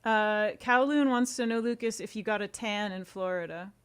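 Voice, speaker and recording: A woman's voice: mid-range voice, moderate pace, smooth. A woman talking casually as a guest on a podcast interview. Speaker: podcast guest, audio comedy co-creator. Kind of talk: casual chat.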